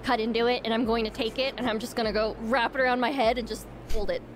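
A woman's voice: a little high, steady tone, fast talker, noisy background. A woman playing a tabletop game, stating her character's actions and scolding in character. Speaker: player. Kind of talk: in-character roleplay and describing actions.

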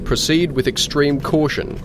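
A man's voice: on the high side, fairly even intonation, measured pace, noisy background. A man playing a stern, impatient military marshal in an audio drama, giving clipped, authoritative commands. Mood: commanding.

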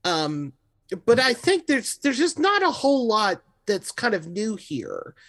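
Higher-pitched man talking analytically. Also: huge pitch swings, measured pace, sharp tone. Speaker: podcast co-host. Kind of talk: casual analytical discussion.

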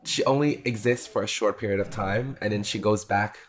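A man's voice: on the low side, brisk. A man talking about his drag character in a casual interview, camp and bawdy, laughing often.

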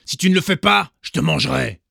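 Man doing a sneaky storybook wolf, high fake-sweet voice, kinda loud. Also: moderate pace, creaky, no echo, studio quality, exaggerated voice. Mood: bossy. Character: sly hungry male wolf in disguise.

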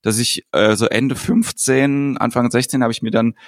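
A man hosting a casual podcast, telling stories in a relaxed way with dry humor. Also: mid-range voice, normal speed, no room sound.

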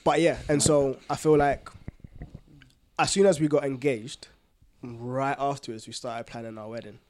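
A man with a London accent and a medium-pitched voice chats as a podcast co-host. His delivery is informal and full of slang, like someone telling personal stories to friends. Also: steady tone, moderate pace, a little mellow. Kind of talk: casual chat, personal anecdotes.

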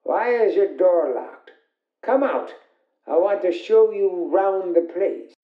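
An actor playing a menacing, obsessed hotel owner in 1940s radio suspense style, brooding, sinister and deliberate. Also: male voice, high-pitched, no echo, phone-quality sound. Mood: insistent menace. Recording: old radio drama with music behind.

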